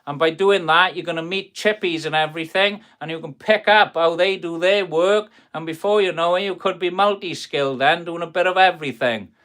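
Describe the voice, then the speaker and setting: South Welsh man, friendly live-stream carpenter, rambling chat, clear crisp voice. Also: on the high side, brisk, few pauses, a bit bright. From South Wales. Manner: friendly, rambling, calls viewers "boys" and "buddy". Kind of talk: chatty live stream, answering viewers' chat. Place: workshop.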